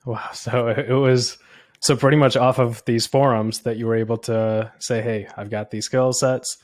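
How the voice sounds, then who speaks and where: A man's voice: mid-range voice, level tone, normal speed, no room sound, clean studio sound. A man hosting an interview podcast, introducing his guest and asking questions. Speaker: podcast host.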